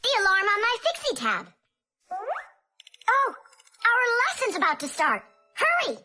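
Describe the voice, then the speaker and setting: Bossy little cartoon girl sprite, squeaky high, piercingly bright, emphatic. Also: huge pitch swings, a little slow, full character voice. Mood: urgent. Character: bossy, resourceful young girl tech sprite. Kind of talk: animated children's cartoon acting.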